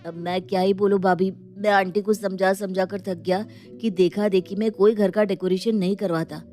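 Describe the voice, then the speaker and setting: A woman acts a polite, weary young man in a cartoon family tale. The voice sits at a medium pitch, deferential, with music underneath. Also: steady tone, moderate pace, warm tone, natural voice. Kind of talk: animated Hindi moral story acting.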